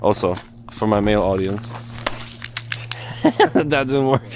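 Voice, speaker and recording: A man with a fairly low voice chats through a travel vlog outside in the cold. He talks in a loose, excitable way, full of fillers and little laughs. Loud outdoor noise runs under his camera-mic recording. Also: measured pace. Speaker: travel vlogger. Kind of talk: casual travel vlog chat. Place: outdoors by a frozen lake in the cold.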